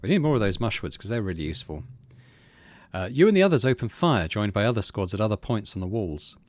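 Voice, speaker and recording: A man's voice: lowish voice, dry recording. A man hosting a game playthrough, switching between casual commentary and reading story text aloud. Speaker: gaming channel host.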